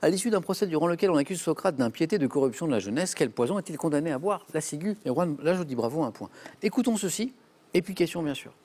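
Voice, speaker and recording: A man's voice: a little high, quick pace, slight background noise. A man hosting a TV quiz show, reading questions and joking with contestants in a studio. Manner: lively, jokey, reads questions then banters with contestants.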